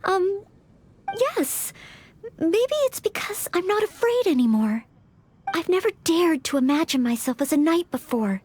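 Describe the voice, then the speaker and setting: A woman's voice: very high voice, lively intonation, unhurried, a little mellow, dry recording. A woman playing a humble, polite young maid who dreams of becoming a knight, in a video game. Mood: shy, hesitant. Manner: polite, eager, sincere.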